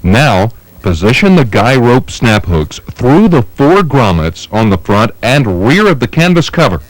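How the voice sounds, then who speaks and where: A man reading scripted instructional narration for a training film in a measured, methodical manner. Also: mid-range voice, very animated, dry recording, poor audio. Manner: measured, methodical, step-by-step delivery.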